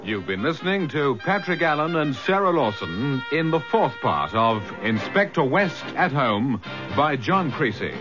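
Casting: British male drama announcer, lively intonation, loud music underneath, slightly dull recording. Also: medium pitch, measured pace. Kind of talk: scripted broadcast announcement. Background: loud theme music.

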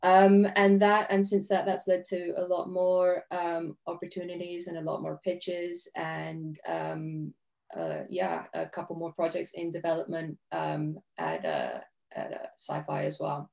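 Female panelist, low and a bit gravelly, upbeat, casual video-call chat. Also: lively intonation, measured pace, darkish tone. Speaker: writer-director panelist. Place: home room, video call.

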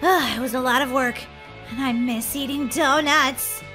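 An excitable, giggly woman hosting a gaming video with playful commentary and lots of exclamations. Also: high voice, moderate pace. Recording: music under the voice. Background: music.

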